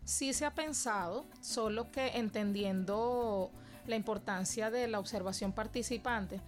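A woman's voice: fairly high pitch, a little slow, faint music behind. A Venezuelan woman answering interview questions about her dance classes, earnest and reflective in long flowing sentences. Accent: Venezuelan Spanish. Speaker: dance teacher and cultural guest.

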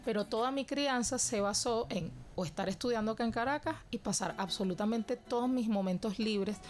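A woman's voice: on the high side, a little hiss or hum. A young Venezuelan woman answering interview questions about her cultural work in an earnest, reflective way. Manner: earnest and reflective, with measured phrasing. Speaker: guest, cultural educator.

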